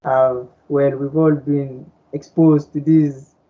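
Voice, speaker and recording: A man from Guinea whose first language is Fulani, explaining things at a measured pace with lots of "um"s as a podcast guest. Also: mid-range voice, flat delivery. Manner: measured, explanatory, with many fillers. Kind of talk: casual podcast discussion. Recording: dull lo-fi recording.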